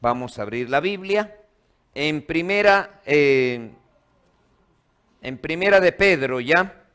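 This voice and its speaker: Man, pastor, from Ecuador, slow, slightly high voice, church sermon, call-and-response. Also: animated, fairly bright tone, clean tone. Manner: call-and-response, quizzing the congregation. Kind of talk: sermon to a live congregation. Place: church hall.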